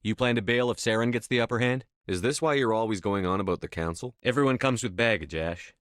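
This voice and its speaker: A man acting a stern, steady space-navy commander in a video game, with a measured, dry, authoritative delivery. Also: on the low side, clean tone, no room sound, natural voice. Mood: firm, probing.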